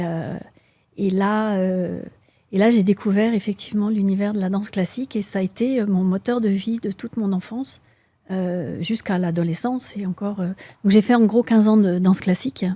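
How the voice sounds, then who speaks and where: Swiss-accented woman, dark and dry. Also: mid-range voice, no echo. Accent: Swiss French. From Switzerland. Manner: thoughtful, hesitant with many fillers. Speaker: guest, mountaineer. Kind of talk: interview answers.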